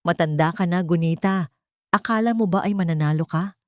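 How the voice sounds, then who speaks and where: A woman's voice: on the low side, brisk, no echo, studio quality, close to an everyday voice. A woman voicing a deceitful, intense daughter-in-law in a dramatic audio story. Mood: venomous threat. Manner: wailing pleas, sharp threats. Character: pleading, tearful, deceitful daughter-in-law turned venomous.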